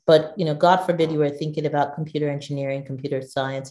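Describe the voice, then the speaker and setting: Low, mellow-voiced woman from Nigeria. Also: echoey room. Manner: thoughtful, with frequent restarts and fillers. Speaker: guest, digital executive. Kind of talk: conversational interview.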